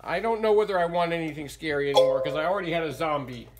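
Middle-aged male puppeteer, playful storytelling for kids, loud music mixed in. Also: fairly high pitch, very fast. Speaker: puppet show performer. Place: indoors beside a small puppet theater.

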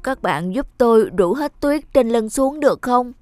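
A big talking bear from a cartoon fairy tale, acted by a woman. He is an enchanted prince, polite and earnest, firm with wrongdoers. Music plays clearly underneath. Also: on the high side, lively intonation, measured pace, darkish tone, pitched above the performer's own voice. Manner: earnest, polite, stern with thieves. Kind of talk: animated fairy-tale dialogue.

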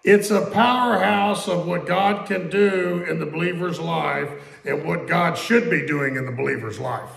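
Pastor, male, conversational sermon, gravelly, echoey sanctuary. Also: a little high, a crowd in the background. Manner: conversational preaching, repeats questions, quotes scripture slowly. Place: church sanctuary. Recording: echoey church room.

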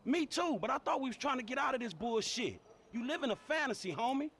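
An actor playing an exasperated, defensive young American street hustler in a video game, slangy and profane. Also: male voice, very high voice, lively intonation, quick pace, fairly bright tone, slightly rough, exaggerated voice. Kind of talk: video game cutscene acting.

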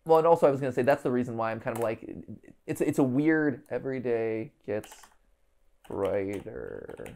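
A man's voice: medium pitch, lively intonation, normal speed, gravelly, darkish tone. A man in his thirties hosting a casual livestream, reading aloud and muttering half-finished thoughts as he works through a puzzle. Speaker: livestream host. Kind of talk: casual live puzzle-solving commentary, thinking aloud. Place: indoor room, livestream set.

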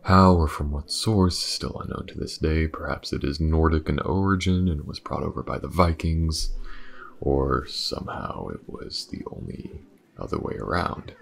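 Actor playing a very deep, gravelly weary detective. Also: male voice, normal speed. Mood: measured, reading aloud. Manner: hesitant, muttering, sighing. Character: weary, driven male detective investigating a dark mystery. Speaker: voice actor in an audio roleplay. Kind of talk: intimate audio roleplay acting.

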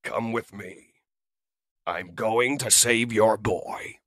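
A man voicing a noble male robot leader with booming, forceful declarations. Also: takes it slowly, no room sound, studio quality. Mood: commanding.

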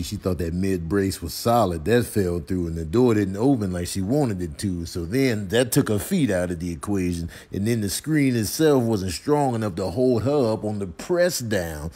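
A man's voice: on the low side, animated, moderate pace, hardly any pauses, warm tone. A man doing comic voiceover commentary in a slangy, colourful, incredulous way. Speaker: comedy voiceover host. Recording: voiceover with faint background noise.

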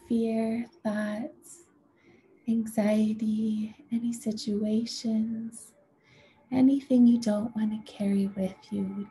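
A woman leading a guided meditation in a gentle, soothing, unhurried way, with a soft, breathy voice that often nears a whisper. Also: a little high, slight background noise, low-quality recording. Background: music.

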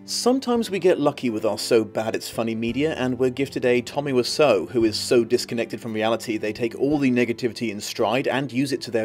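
A man's voice: medium pitch, a little mellow. A man in his thirties, an online reviewer delivering a scripted video essay in an articulate, wry way. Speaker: online book reviewer and host.

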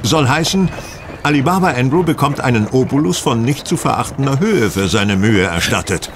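An actor playing a self-assured, wry gentleman detective in a radio play, explaining in a measured, teasing way. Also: medium pitch, expressive, noisy background, natural voice. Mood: explanatory. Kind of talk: German radio play acting.

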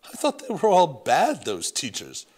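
A man's voice: fairly high pitch, huge pitch swings, normal speed, darkish tone, husky, voice pushed up, heavily acted. A man voicing an elderly French grandmother who is doting and blunt, with a thick French accent. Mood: doting, puzzled. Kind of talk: audiobook character voice.

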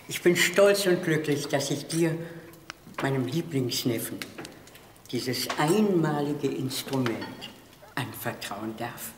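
Casting: elderly noble aunt, ceremonious and slow, in a reverberant hall. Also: female voice, extremely low pitch. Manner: ceremonious, deliberate speech-giving. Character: gracious old aristocratic aunt, proud and affectionate. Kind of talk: crime drama acting. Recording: echoey hall, long reverb, noisy.

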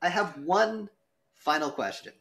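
A man's voice: sits high, lively intonation, unhurried, a bit bright. A man moderating a podcast debate in a casual, conversational way, posing questions.

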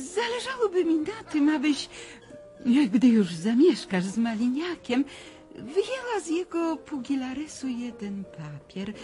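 A woman's voice: high voice, mellow, exaggerated voice. An actress playing a scheming, patronising society lady with a theatrical, condescending manner, in a radio drama.

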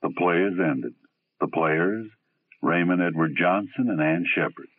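A man's voice: low voice, husky. A man announcing an old-time radio sign-off in a solemn, dramatic, ominous way. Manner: slow solemn dramatic delivery. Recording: tinny old radio broadcast, loud music. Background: loud music and gong.

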